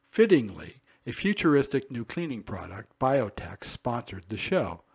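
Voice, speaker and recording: A man's voice: medium pitch, darkish tone. A man hosting a radio archive show, reading a scripted introduction. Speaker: host.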